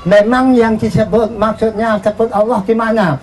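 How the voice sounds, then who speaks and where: A storyteller playing a gentle, sorrowful old grandfather in a live children's story, speaking hesitantly with exclamations. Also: mid-range voice, fairly even intonation, moderate pace, background noise, close to an everyday voice. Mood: curious, pleased. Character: sad, gentle old grandfather farm owner.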